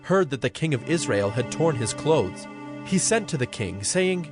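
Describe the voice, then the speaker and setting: A man's voice: mid-range voice, sing-song, normal speed. A man giving scripted narration for a dramatized scripture recording. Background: instrumental music.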